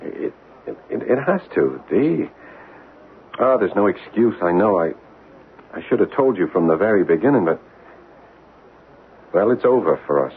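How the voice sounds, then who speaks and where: A shy young American soldier, speaks low and earnest, stumbling over his words. It sounds like a vintage radio play, tinny and narrow with some hiss. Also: big pitch swings, moderate pace, long pauses. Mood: guilty. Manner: hesitant, stammering. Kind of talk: old-time radio drama acting. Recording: tinny, hissy old radio broadcast.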